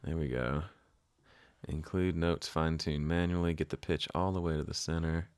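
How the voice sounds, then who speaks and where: Low-voiced man, dry close sound. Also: steady tone, normal speed, smooth, dry recording. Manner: informal, improvising as he goes. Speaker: music production tutorial host. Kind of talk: casual hands-on software tutorial, thinking aloud.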